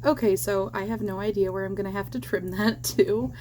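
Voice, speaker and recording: A woman chatting casually while she teaches crochet at home, rambling with fillers and small laughs, her voice slightly hoarse because she is losing it. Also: medium pitch, fairly even intonation, brisk, a little mellow, a little hiss or hum. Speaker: crochet vlogger. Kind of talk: casual crochet tutorial chat.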